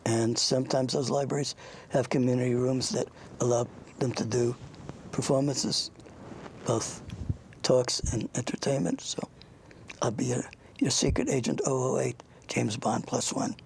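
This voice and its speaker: An older interviewer with a gravelly, husky voice, chatting informally and haltingly with a young guest, often rambling into anecdotes. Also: mid-range voice, steady tone, a little hiss or hum, low-quality recording. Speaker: interviewer, show host.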